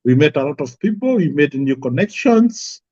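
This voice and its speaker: A man's voice: fairly high pitch, big pitch swings, normal speed, darkish tone, no echo, muffled recording. A man from Kenya, a guest from an apple farm, talking easily and repeating his words. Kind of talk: casual interview talk.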